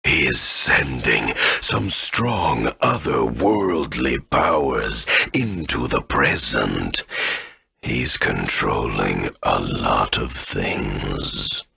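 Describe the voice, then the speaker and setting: A man voicing a huge, ancient great elder tree in a video game, with a raspy voice and a halting, rambling way of remembering. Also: animated, bright, forceful tone, no echo, full character voice. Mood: slow recollection. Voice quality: very deep, gravelly, raspy. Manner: slow, halting, rambling recollection.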